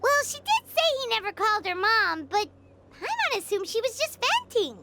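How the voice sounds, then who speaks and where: A woman voicing a tiny, childlike fairy companion in a video game, naive and curious, with a squeaky voice. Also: sing-song, moderate pace, heavy background noise, heavily acted. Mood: puzzled. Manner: speaks of herself in third person. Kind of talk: animated video game voice acting.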